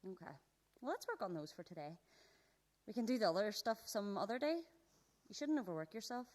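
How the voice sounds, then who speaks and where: A woman's voice: medium pitch, sing-song, a little fast, darkish tone, close to an everyday voice. A woman acting in a radio play as a blunt, sarcastic teenage schoolgirl who is exasperated but caring. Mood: grudgingly helpful.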